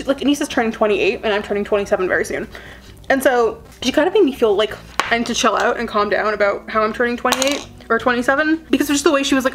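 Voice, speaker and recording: A woman's voice: on the high side, noisy background. A woman in her twenties, a beauty vlogger, chatting in a rambling way while doing her makeup, her sentences full of filler words. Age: 20s.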